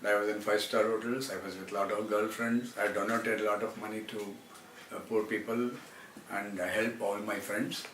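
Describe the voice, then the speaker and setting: A man from Karnataka with a South Indian accent and Telugu as his first language, rambling to viewers about his own life in a halting way with repeated phrases. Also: lowish voice, little pitch movement, a bit bright. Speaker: translator and former film actor. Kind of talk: rambling autobiographical talk to viewers. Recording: roomy, distant mic, faint background noise.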